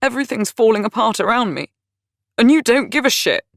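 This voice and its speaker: A woman voicing a volatile, self-pitying man who rants and complains loudly. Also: fairly low pitch, lively intonation, normal speed, dry recording, clean studio sound, close to an everyday voice. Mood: angry ranting. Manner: ranting, raised and strained.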